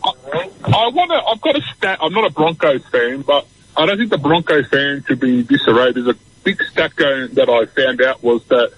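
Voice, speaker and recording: A man's voice: mid-range voice, animated, moderate pace, fairly bright tone, husky, highs cut off. A man with an Australian accent phoning a radio show to give casual opinions on sport. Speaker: radio phone-in caller. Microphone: phone call.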